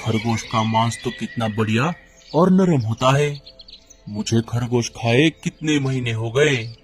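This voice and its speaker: A man's voice: mid-range voice, measured pace, lightly acted. A man voicing a greedy male eagle in a cartoon, hungry for big prey. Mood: greedy, eager. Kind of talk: cartoon dialogue. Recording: music under the voice.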